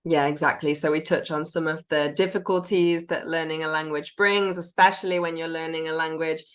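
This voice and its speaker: British woman podcaster, lowish voice. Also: fairly even intonation, fairly quick. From United Kingdom. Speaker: podcast co-host. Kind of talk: casual chat.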